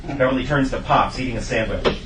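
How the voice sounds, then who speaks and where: Male stage-direction reader, quick scripted delivery, mid pitch, roomy table-read recording. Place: live table read in a room. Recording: roomy distant mic with noise.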